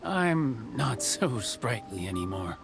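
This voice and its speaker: A man's voice: mid-range voice, big pitch swings, slow pace, creaky, over music, exaggerated voice. A man voicing a jovial, folksy old village carpenter in a video game, hesitant and welcoming. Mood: weary. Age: older.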